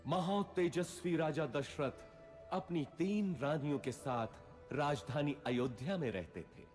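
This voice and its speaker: A man's voice: on the high side, a little slow, darkish tone. A man narrating a mythological tale as a scripted storyteller in a formal, stately manner. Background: music.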